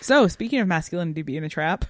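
Woman, low register, podcast co-host. Thoughtful, conversational analysis, searching for words, dry close recording. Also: lively intonation, fast, dry recording. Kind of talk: casual analytical discussion of fiction.

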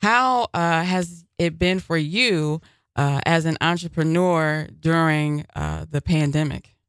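A man hosting a community radio show, talking in a relaxed, casual way full of "you know" and "uh" fillers. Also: fairly high pitch, slow, clear, resonant tone, no room sound, clean studio sound.

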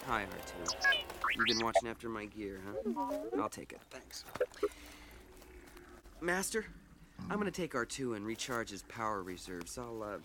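A man's voice: medium pitch, sing-song, moderate pace, pauses often, loud music behind the voice, close to an everyday voice. An actor playing an earnest, uneasy young male space hero in a radio drama, with a hesitant, searching delivery. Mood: casual, resigned.

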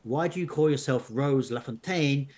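A man's voice: medium pitch, normal speed, darkish tone, lightly acted. A man voicing a puzzled, insistent young teenager in a storybook adventure game.

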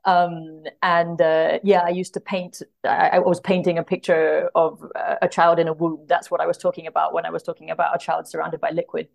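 A woman's voice: on the low side, level tone, brisk, vocal fry, hardly any pauses. A woman talking through a book in a casual discussion, as a podcast guest, in a thoughtful, hesitant way that drifts into asides. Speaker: podcast guest, literary translator.

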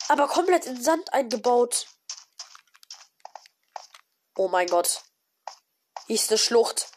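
A woman with a cold, giving casual, excited commentary on her own gameplay with many fillers and exclamations. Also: a little high, slow pace, slight background noise. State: has a cold. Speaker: gaming video creator. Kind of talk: casual let's-play commentary.

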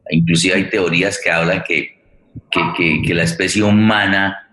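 A man with a Colombian accent speaking as a host in an unscripted, reflective introduction, halting and repeating words as he goes. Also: deep voice, steady tone, sharp tone. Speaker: host and theater director. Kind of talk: unscripted spoken introduction to a forum. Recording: roomy, echoey sound.

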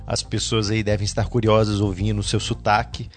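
A man who hosts a podcast and interviews a guest with steady, structured questions. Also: fairly low pitch, fairly quick, soft background music.

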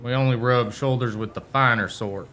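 Folksy camp fellow, played by an actor: bright, forceful, teasing, homespun country talk, for radio drama. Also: male voice, medium pitch, steady tone, lightly acted. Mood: chummy. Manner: drawn-out folksy exclamations and country idioms. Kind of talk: radio play acting.

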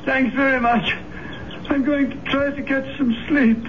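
A dull, faintly noisy old radio recording of an ailing, secretive boarder. He speaks slowly and politely in a strained, weary manner. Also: very high voice, steady tone. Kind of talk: old-time radio mystery acting.

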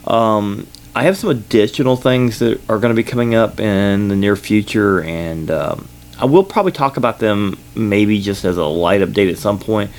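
A man's voice: lowish voice, lively intonation, moderate pace. A man with a Southern US accent, hosting a podcast in a studio, talking casually in a rambling way with many fillers and restarts. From Kentucky, United States. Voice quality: loud voice at times. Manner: rambling, filler-heavy, self-correcting. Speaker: podcast host. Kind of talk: casual solo podcast update. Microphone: sensitive studio microphone.

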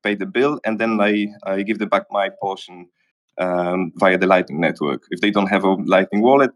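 A man's voice: deep voice, moderate pace. A man talking conversationally in a live audio chat, raising questions. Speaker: audience speaker.

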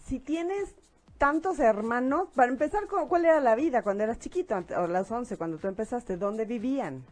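A woman's voice: a little high, lively intonation, moderate pace, darkish tone. A woman with a Mexican accent hosting an interview in a casual, chatty style. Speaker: host.

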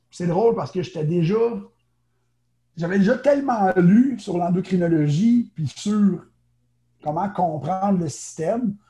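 Quebec French male guest, naturopath, casual, higher voice, very warm tone. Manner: chatty, quick, many fillers and restarts. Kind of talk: casual interview talk.

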